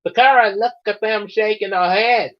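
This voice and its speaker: A man's voice: high voice, steady tone, normal speed, voice pushed up, lightly acted. A man voicing a brash, matey Australian storyteller in a theatrical reading of fan fiction. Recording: slightly dull, lo-fi voice recording.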